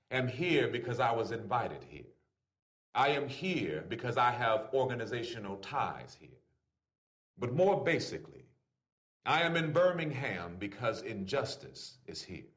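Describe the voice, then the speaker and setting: A man reading a written letter aloud in an oratorical style, with deliberate, formal phrasing. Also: medium pitch, sing-song, fairly bright tone. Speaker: reader.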